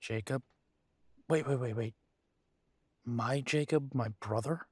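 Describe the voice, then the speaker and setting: Werewolf boyfriend for audio drama, male actor: mid-pitched, unhurried and halting, devoted yet weighed down by family estrangement, very dry sound. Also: darkish tone, slightly rough, dry recording. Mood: concerned, puzzled. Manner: halting, sighing, tender. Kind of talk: intimate roleplay audio drama.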